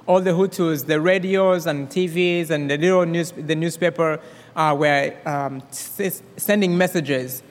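A young man from Rwanda with a Rwandan accent, giving his personal testimony at a church service in a quick, excited way with many restarts. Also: on the high side. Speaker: guest speaker. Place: church hall. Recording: long reverb of a hall.

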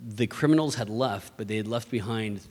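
A man's voice: lowish voice, moderate pace, a little mellow. A man from Mexico who leads a church missions ministry, giving an earnest presentation with frequent restarts and repeated words. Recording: long hall reverb.

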